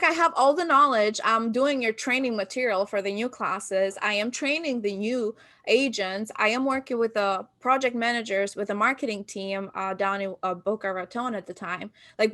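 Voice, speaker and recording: A woman from Albania, in her thirties, speaks in a fairly high voice as a podcast guest. She tells stories with energy and often acts out quoted dialogue from herself and other people. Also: normal speed, pushed and bright. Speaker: guest, marketing business founder. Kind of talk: casual podcast interview.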